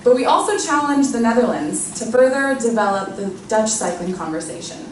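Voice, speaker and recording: A woman's voice: fairly high pitch, animated, fairly quick. A woman giving an earnest short scripted talk as a presenter. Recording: echoey hall, mic at a distance, background noise.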